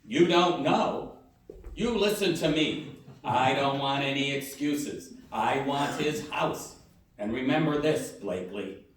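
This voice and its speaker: A man's voice: medium pitch, animated, slow. A man playing a cold, domineering business tycoon in a live radio play, curt and commanding. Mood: demanding. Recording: very echoey hall, distant microphone.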